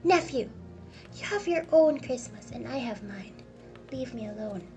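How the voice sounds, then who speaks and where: A woman's voice: a little high, animated, normal speed, mellow, soft background music. A woman voicing a cold, mean old miser in an audiobook, curt, scornful and emphatic. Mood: angry, dismissive.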